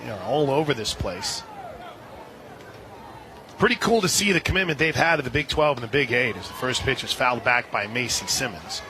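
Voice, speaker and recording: A man doing live radio play-by-play commentary of a softball game. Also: mid-range voice, expressive, moderate pace. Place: outdoor softball stadium broadcast position. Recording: outdoor ballpark, clear crowd noise behind.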